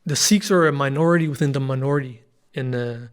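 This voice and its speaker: A man's voice: medium pitch, fast, clear, resonant tone. Guy in his 30s, punjabi mother tongue, chatting as a guest, lots of ums, voice drops off at the ends. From Denmark. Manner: thoughtful, frequent fillers, trails off softly. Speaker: guest author. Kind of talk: casual conversational interview.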